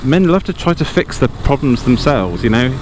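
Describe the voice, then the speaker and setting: A man's voice: mid-range voice, sing-song, moderate pace. A British man chatting casually and candidly in a rambling, self-deprecating vlog, recorded on a lapel mic while riding a motorcycle. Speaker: motorcycle vlogger. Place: outdoors on a moving motorcycle. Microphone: lavalier inside riding helmet. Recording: loud engine and wind-like vehicle noise.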